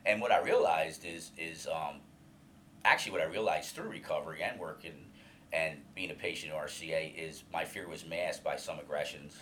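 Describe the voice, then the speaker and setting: Guest, male, 50s, very low voice, earnest, halting delivery, faint hiss behind. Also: steady tone. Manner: open, earnest, hesitant with many restarts and fillers; loud voice. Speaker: alumni coordinator, guest. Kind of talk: candid interview about his recovery.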